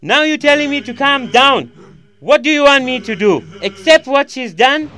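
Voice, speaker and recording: A man playing an angry, strict, middle-aged father in an audio drama, shouting in furious outbursts. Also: very high voice, expressive, normal speed, heavily acted.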